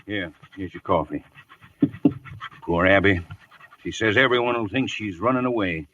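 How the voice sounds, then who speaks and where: An actor playing a cavalry major and older husband, apologetic but firm, earnest and placating, in 1950s radio drama style. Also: male voice, low-pitched, moderate pace, fairly bright tone, far from the mic, slight background noise. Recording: dull vintage radio recording, off mic.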